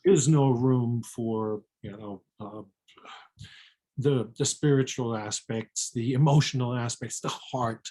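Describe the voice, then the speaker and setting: Middle aged german accent, raspy husky voice, chatting casually, pretty blunt and reflective. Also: medium pitch, takes it slowly, warm tone. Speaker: physician and co-author, guest. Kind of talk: casual chat.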